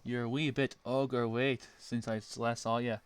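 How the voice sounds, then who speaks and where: A man's voice: mid-range voice, flat delivery, normal speed, clean tone, no room sound, natural voice. A man voicing a crude, jovial green ogre with a put-on Scottish-style lilt.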